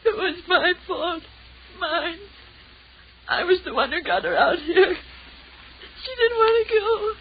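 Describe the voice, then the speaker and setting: Fearful educated young woman, high-pitched, halting and intense, radio horror drama, noisy vintage recording. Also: sing-song, highs cut off, full character voice. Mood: sobbing, guilty. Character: earnest, educated young woman, fearful, superstitious, guilt-stricken. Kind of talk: old-time radio horror acting.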